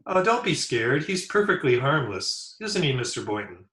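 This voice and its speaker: A man's voice: mid-range voice, big pitch swings, moderate pace, a bit bright. A man playing an eager, good-natured, slightly dim teenage schoolboy in an old-time radio comedy, folksy in manner, in an echoey room. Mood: eager, friendly. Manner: folksy, gee-whiz, simple. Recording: echoey room over remote call.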